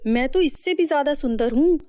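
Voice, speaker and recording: A vain, jealous young girl, put on by a woman narrator, pitched high. She is whiny and dramatic in storybook dialogue. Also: lively intonation, a little fast, a little mellow. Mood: boastful. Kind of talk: acted children's fairy-tale dialogue.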